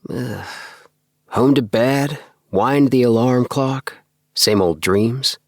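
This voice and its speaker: A man voicing an excitable, earnest young dreamer in an audiobook, with an emphatic, self-satisfied manner. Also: medium pitch, slow pace, no room sound, studio quality. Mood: exasperated, bored. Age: young adult. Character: excitable young dreamer, eager, earnest, obsessed with house plans. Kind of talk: acted audiobook dialogue.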